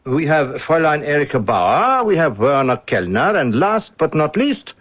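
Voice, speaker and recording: Courteous German police inspector, lively intonation. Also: male voice, on the high side, normal speed, breathy edge. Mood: politely probing. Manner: hesitant, formally polite. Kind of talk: 1970s radio drama acting. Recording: dull lo-fi old radio recording.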